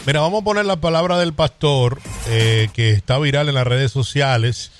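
A man hosting a radio talk show, conversational and quick. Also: mid-range voice, soft background music. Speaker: radio host.

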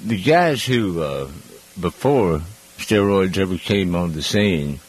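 A middle-aged man hosts a radio talk show in a deep, low voice. He talks casually, with a folksy and easygoing way about him. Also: expressive, slow pace, husky. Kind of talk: casual chat.

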